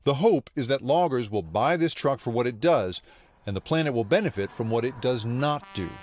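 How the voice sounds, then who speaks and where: A middle-aged man reporting a news feature in measured scripted narration. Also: mid-range voice, lively intonation, a little mellow, slight background noise. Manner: measured, then chatty and laughing in studio. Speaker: news correspondent. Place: TV news studio for the chat.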